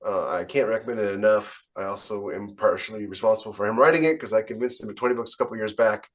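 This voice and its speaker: A man's voice: fairly low pitch, quick pace. A man speaking as an author on a podcast panel, blunt and emphatic in casual, opinionated conversation. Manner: blunt, emphatic, rhetorical questions.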